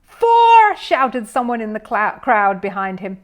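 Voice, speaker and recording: Casting: female storyteller for children's audiobook narration, medium-pitched voice. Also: normal speed, fairly bright tone.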